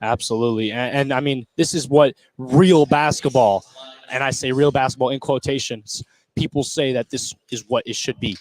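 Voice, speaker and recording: A man's voice: mid-range voice, normal speed, rough recording. A man giving conversational live basketball analysis as a color commentator on radio.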